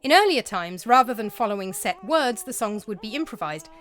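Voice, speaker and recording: A woman's voice: fairly high pitch, expressive, brisk, crowd noise. A woman narrating her vlog in a scholarly, lightly amused tone, reading a prepared script. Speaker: vlogger and narrator. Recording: dry close-mic voice-over.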